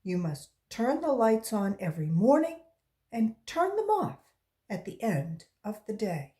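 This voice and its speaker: A woman's voice: on the high side, sing-song, a little slow, warm tone. A woman voicing a firm, earnest female schoolteacher in a storybook, speaking emphatically and instructively.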